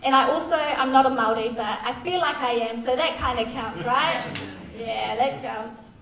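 A woman's voice: high-pitched, moderate pace, a crowd in the background. A woman, giving a candid, self-deprecating church testimony full of fillers. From Australia. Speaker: youth worker sharing testimony. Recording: echoey hall, voice far from mic.

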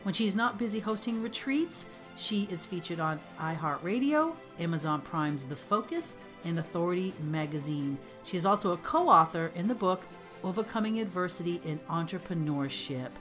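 A slightly low-pitched woman hosts a podcast interview, upbeat and affirming. Faint music plays softly behind her voice. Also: expressive, normal speed. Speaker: podcast host.

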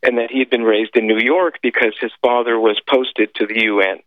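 A man whose first language is French, a guest telling his story in a radio interview, pausing often with filler sounds and restarts. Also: medium pitch, fairly even intonation, no echo. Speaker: guest, former intelligence officer. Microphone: phone call. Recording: tinny phone line, dry.

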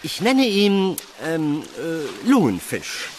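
A man's voice: fairly high pitch, wildly swooping pitch, slow pace, a little hiss or hum, full character voice. A man playing an eager, pedantic employee of a heavenly agency in a comedic radio play, lecturing in a nerdy way. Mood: lecturing, pleased.

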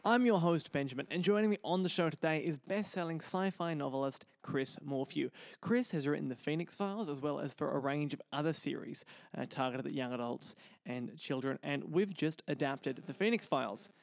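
A man's voice: fairly high pitch, brisk, vocal fry, hardly any pauses, no echo. An Australian man presenting a show as its host, with scripted introductions and interview questions. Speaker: podcast host.

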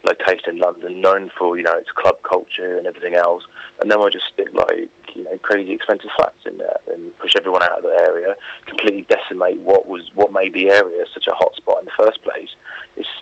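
A man with a very low, husky voice gives his views in a casual radio interview. He rambles informally and is opinionated. The sound is dull and lo-fi, like a phone link. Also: a bit bright, no echo. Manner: informal, opinionated, rambling with frequent "you know" and "like". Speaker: interview guest.

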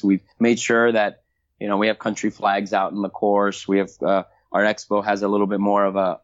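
A middle-aged man answering interview questions about the race he organizes, halting and repeating words as he thinks aloud. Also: fairly low pitch. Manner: halting, many repeats and fillers. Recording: dull lo-fi audio.

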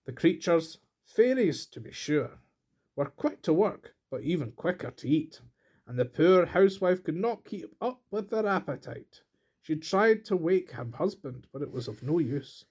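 A man's voice: on the high side, lively intonation, unhurried, darkish tone, breathy edge. A man reading a folk tale aloud as storyteller, in scripted narration.

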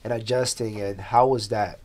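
Halting male interview host. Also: on the low side, steady tone, background noise. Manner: halting, restarts often with "you know" and "like". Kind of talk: interview questions.

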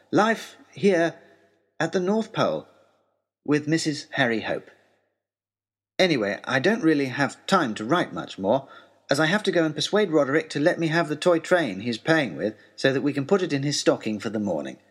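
A man playing an earnest, eager young gentleman adventurer in an audio story, narrating a letter in a formal, wordy, wry way with deliberate pauses. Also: fairly high pitch, very animated, slightly rough. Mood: earnest, happy, then brisk, cheerful.

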